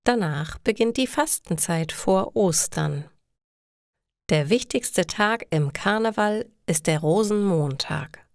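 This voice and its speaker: A woman reading scripted stories slowly and carefully for language learners. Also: medium pitch, expressive, no echo, studio quality. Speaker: storyteller for German learners.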